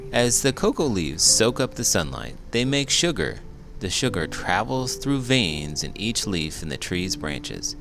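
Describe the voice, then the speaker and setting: Animated man reading to children, low creaky voice. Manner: expressive, big emotions. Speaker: teacher reading aloud a children's book. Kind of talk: animated children's read-aloud narration.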